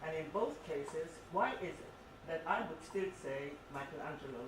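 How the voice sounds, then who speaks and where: An older woman speaking from the audience, making a discursive, halting academic comment in an explanatory way. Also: low-pitched, animated. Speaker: audience member commenting on art. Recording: echoey, noisy hall, far from microphone.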